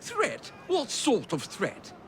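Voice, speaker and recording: Blustering old Prime Minister, English, indignant, hugely animated intonation. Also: male voice, extremely high pitch, takes it slowly, very noisy, exaggerated voice. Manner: pompous, outraged.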